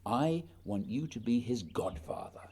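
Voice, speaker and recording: An actor playing a reserved, earnest polar explorer in a radio play, with measured, formal phrasing. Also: male voice, medium pitch, expressive, warm tone, slightly rough. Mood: earnest, warm.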